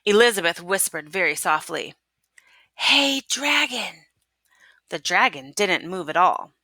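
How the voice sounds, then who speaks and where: A woman's voice: mid-range voice, pushed and bright, no echo. A woman teacher reading a picture book aloud expressively and prompting young listeners with questions. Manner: instructional, prompting. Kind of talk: expressive storytelling with questions to children.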